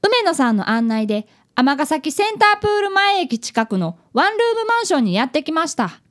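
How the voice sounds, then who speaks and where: A woman's voice: sits high, very animated, brisk. A woman playing an earnest, polite boy of about eleven, an apprentice detective in a radio drama. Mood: narrating. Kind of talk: radio drama acting and narration.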